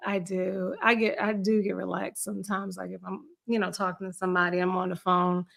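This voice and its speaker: A woman's voice: mid-range voice, little pitch movement, brisk, gravelly, a bit bright. A woman from the US Eastern Shore chatting casually as a podcast co-host, blunt and colloquial, swearing freely. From Eastern Shore, United States. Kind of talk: casual group chat.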